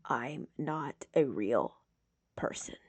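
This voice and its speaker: A woman voicing a wounded, withdrawn young man in an audiobook, with a weak, breathy murmur and halting delivery. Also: a bass voice, very animated, no echo, voice pushed down, heavily acted. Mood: weary, despairing.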